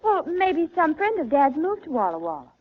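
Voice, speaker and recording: An actress playing a helpful teenage daughter in a noisy, dull 1940s radio family comedy recording. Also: female voice, sits high, huge pitch swings, normal speed. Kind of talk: 1940s radio sitcom acting.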